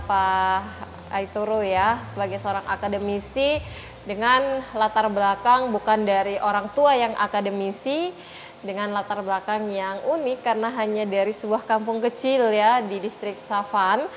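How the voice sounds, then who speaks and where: A woman hosting a podcast interview in a conversational style, phrasing questions hesitantly and often seeking agreement. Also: mid-range voice. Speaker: podcast host interviewing a guest.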